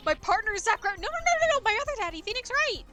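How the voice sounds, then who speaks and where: A woman voicing a cheerful, excitable teenage girl magician. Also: extremely high pitch, fast talker, soft background music, voice pushed up, exaggerated voice. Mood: excited. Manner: bubbly, excitable.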